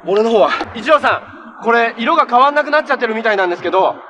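An actor playing a cheerful, earnest young superhero in a live-action TV drama, polite and easygoing. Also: male voice, extremely high pitch, expressive, very fast, bright, forceful tone, a little hiss or hum, exaggerated voice. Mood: urgent, tense. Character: cheerful, earnest young male hero who fights monsters.

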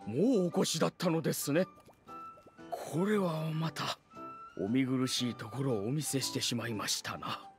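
A man's voice: medium pitch, moderate pace, natural voice. A man voicing a pompous, courtly elder forest guardian in a game, with archaic, dignified phrasing. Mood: courteous. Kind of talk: Japanese anime game acting. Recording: faint music behind.

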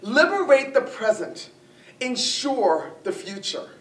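A woman acting an earnest, resolute public speaker in a formal, emphatic stage monologue. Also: very animated. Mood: impassioned. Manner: deliberate, emphatic, oratorical. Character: earnest, resolute woman arguing to bury the past. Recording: echoey room, distant mic, rumbling noise.

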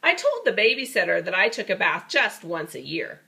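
Animated woman reading a storybook aloud. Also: medium pitch, moderate pace, gravelly. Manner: folksy, expressive, playful. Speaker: storybook reader for a child. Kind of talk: animated read-aloud with chatty asides.

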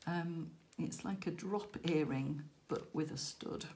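A woman hosting a crafting vlog, croaky and tired after a late night, rambling and self-deprecating with frequent laughs. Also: deep voice, slow pace, background noise. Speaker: crafting channel host. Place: indoors at a crafting table.

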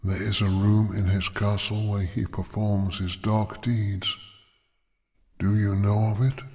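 A man voicing an ancient, imprisoned female dragon goddess who speaks slowly and with command. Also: lowish voice, flat delivery, darkish tone. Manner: slow, measured, otherworldly.

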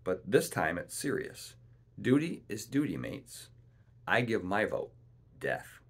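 A man's voice: fairly low pitch, sing-song, takes it slowly, creaky, breathy edge, exaggerated voice. A man voicing a cunning, domineering pirate ship's cook in a storybook, with boastful, wheedling, rustic seafaring speech. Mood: coldly menacing.

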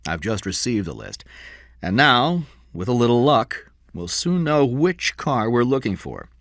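A man's voice: mid-range voice, sing-song, measured pace, fairly bright tone, dry recording, clean studio sound, voice pushed up. A man voicing a frank, practical police lieutenant in an audiobook crime story, speaking in an emphatic, businesslike way.

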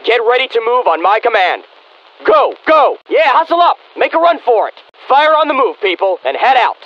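An actor playing a confident American special forces soldier in a military video game, with terse, self-assured delivery. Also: male voice, extremely high pitch, big pitch swings, moderate pace, full character voice. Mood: urgent, strained. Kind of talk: military game unit acknowledgements. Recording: slightly muffled radio-style game audio.

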